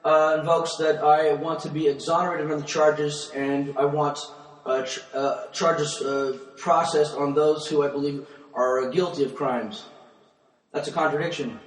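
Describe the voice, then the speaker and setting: Man acting as argumentative client, slightly high voice, rapid wordy legal questioning, echoey distant recording. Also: steady tone, lightly acted. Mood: insistent. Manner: halting, wordy, pseudo-legal, insistent. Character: argumentative, pedantic male defendant insisting he is sane. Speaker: client defendant arguing with his lawyer. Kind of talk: confrontational legal questioning in conversation. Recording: distant mic in a reverberant, echoey room.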